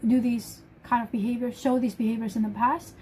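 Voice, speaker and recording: A woman's voice: fairly high pitch, fairly even intonation, a little fast, darkish tone, a little hiss or hum. A woman with a German accent and German as her first language, hosting a casual live stream, talking emphatically and often restarting her phrases. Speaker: livestream host.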